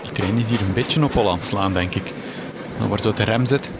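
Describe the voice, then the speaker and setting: A man's voice: fairly low pitch, brisk, very noisy, close to an everyday voice. A young man with a Flemish accent playing a naive, hesitant reporter in a comic radio play. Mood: nervous. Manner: hesitant, bewildered. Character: naive bumbling young male star reporter. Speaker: reporter hero.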